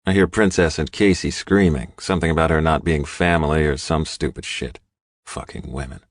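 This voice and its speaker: A man's voice: rumbling low, steady tone, moderate pace, dry recording, clean studio sound. A man reading scripted first-person fiction as an audiobook narrator.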